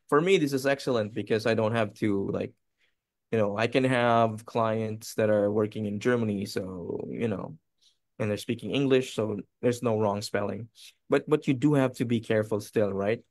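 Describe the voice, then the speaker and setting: A man's voice: lowish voice, normal speed, a little mellow, no echo. A man whose first language is Filipino, speaking as a video editor in a casual webinar Q&A, loose and conversational, often checking in with listeners. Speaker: video editor, guest presenter.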